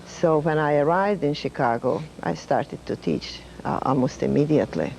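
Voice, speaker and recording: Older Hungarian-accented woman, retired professor, gravelly, measured reminiscing. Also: deep voice, a little hiss or hum, poor audio. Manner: measured, with fillers and soft laughs. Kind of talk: reflective storytelling.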